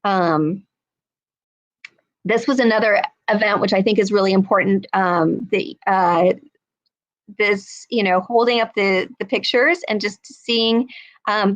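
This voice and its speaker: A woman giving an earnest, halting talk over a video call, with many fillers and restarts. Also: medium pitch, steady tone. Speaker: speaker at an online human rights event.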